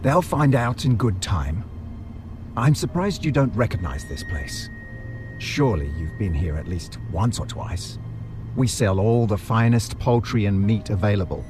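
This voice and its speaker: A man performs a villainous butcher boss for an audio drama. His voice sits low, and he talks with theatrical, mock-polite formality and quiet threat. Music plays clearly behind him. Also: very animated, measured pace. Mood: polite menace. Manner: formal, theatrical, falsely courteous. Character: maniacal butcher crime boss, menacingly polite.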